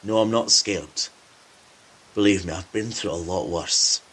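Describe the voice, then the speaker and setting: A man's voice: low-pitched, measured pace, fairly bright tone, husky, exaggerated voice. An actor playing a wary, defensive man surviving a zombie apocalypse in an audio drama.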